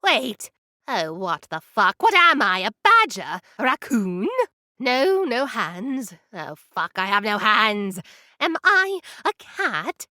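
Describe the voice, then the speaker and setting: A woman's voice: fairly high pitch, sing-song, slow, pushed and bright, no echo, studio quality, full character voice. A woman voicing a flustered swearing cat captain. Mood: panicked. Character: panicked male cat captain turned animal.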